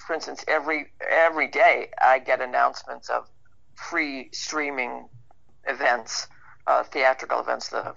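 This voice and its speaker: A woman's voice: deep voice, gravelly, a bit bright. A woman speaking casually and conversationally as a guest in an interview. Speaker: interview guest, actor and writer. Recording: dull band-limited radio call.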